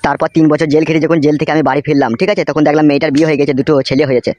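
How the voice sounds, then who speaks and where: Fast, high-voiced blunt cartoon henchman, played by a man. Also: level tone, darkish tone, natural voice. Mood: bitter storytelling. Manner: blunt, swearing, rural colloquial talk. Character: gruff foul-mouthed henchman, jilted and world-weary young man. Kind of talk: amateur comedy cartoon dub.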